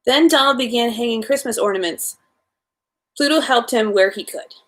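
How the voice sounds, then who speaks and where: Female storyteller, higher-pitched voice, informal read-aloud of a children's bedtime tale, slightly unpolished delivery. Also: moderate pace, fairly bright tone.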